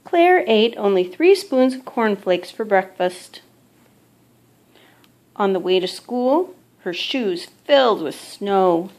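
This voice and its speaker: Mom reading a kids picture book out loud, medium pitch womans voice, expressive measured storytelling. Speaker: mother reading a storybook aloud. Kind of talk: children's picture book read-aloud.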